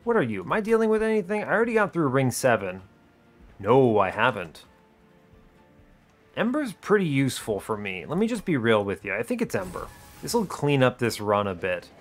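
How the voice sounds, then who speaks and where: A man's voice: medium pitch, very animated, normal speed, faint music behind. A man hosting a gaming video, thinking aloud in casual, chatty commentary with breezy, wry understatement. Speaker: host of an educational gaming video series.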